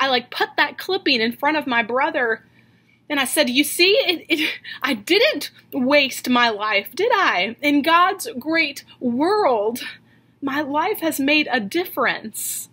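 A woman in her thirties preaching a sermon from a home office into a webcam, earnest and questioning, slowing down to stress key lines. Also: high voice, lively intonation, sharp tone. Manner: earnest, questioning, slows for emphasis. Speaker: pastor. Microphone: webcam at desk.

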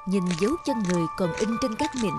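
A woman giving scripted storybook narration for an animated fairy tale. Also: mid-range voice, quick pace. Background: loud music.

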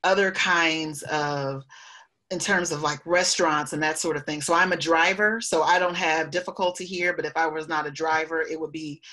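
A woman's voice: low voice, steady tone, normal speed, sharp tone. A woman answering interview questions conversationally as a guest, reflective and often checking in with a questioning tag. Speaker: guest, professor and author.

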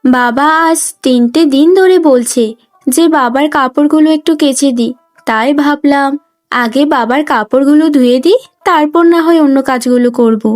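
একজন মহিলা কার্টুন গল্পে স্নেহময়ী, কর্তব্যপরায়ণ তরুণী ছোট বোনের চরিত্রে কণ্ঠ দিচ্ছেন, কথায় নরম অনুনয় আর যত্ন।. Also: female voice, sits high, normal speed, faint music behind, close to an everyday voice. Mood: apologetic, pleading. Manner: gentle, pleading, caring. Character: sweet, dutiful, affectionate young younger sister. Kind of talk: Bengali cartoon story voice acting.

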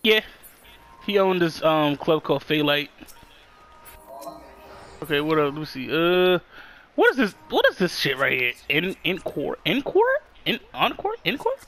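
A young man, talking loosely over his game and swearing often. His voice sits high and leaps around, with big spikes whenever something surprises him. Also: unhurried, long pauses, slight background noise. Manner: loud, excitable, swears often, laughs a lot. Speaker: gamer streaming his play. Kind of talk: casual gaming chat with a friend.

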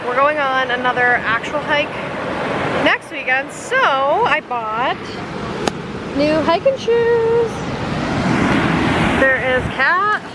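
A woman's voice: high voice, expressive, takes it slowly, long pauses, very noisy. A young woman from Canada chatting casually to her phone camera on an outdoor outing, with lots of fillers and giggles. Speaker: vlogger. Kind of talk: casual travel vlog. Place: outdoors by a river in a forest. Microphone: phone held at arm's length.

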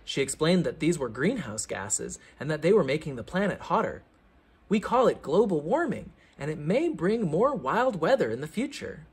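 A man reading a children's science book aloud like a teacher, with theatrical emphasis and clear explanatory phrasing. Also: fairly high pitch, big pitch swings, normal speed.

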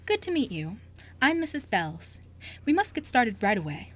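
Woman playing older female music mentor, stern, kindly, encouraging, animated intonation, radio drama. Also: on the high side. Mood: welcoming. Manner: firm, encouraging, measured. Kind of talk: amateur radio play acting.

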